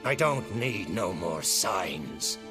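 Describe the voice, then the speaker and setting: An actor playing a troubled, stubborn island chieftain in a video game. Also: male voice, low-pitched, very animated, music in the background, heavily acted. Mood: curt. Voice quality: slow, heavy, curt.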